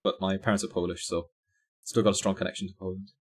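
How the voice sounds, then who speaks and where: A man's voice: low-pitched, flat delivery, quick pace, slight background noise. A man from the Netherlands giving casual live commentary while streaming a driving game, chatting with viewers and laughing at his own crashes.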